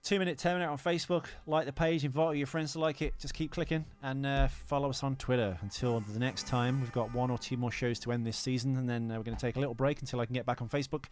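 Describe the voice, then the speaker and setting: A man's voice: medium pitch, steady tone, fast talker, few pauses. A man hosting a casual film podcast, talking in a rambling, hesitant, joking way. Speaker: podcast host. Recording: music behind the voice.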